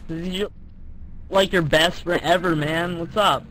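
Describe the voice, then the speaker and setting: A man's voice: fairly high pitch, steady tone, a little slow, sharp tone, slight background noise, rough recording. A young man chatting casually and crudely on prank phone calls, with a slangy, teasing, profane manner. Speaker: prank caller.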